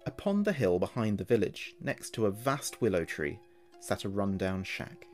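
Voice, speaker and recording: Male horror podcast narrator, lowish pitch, deliberate storytelling read over a music bed. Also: very animated.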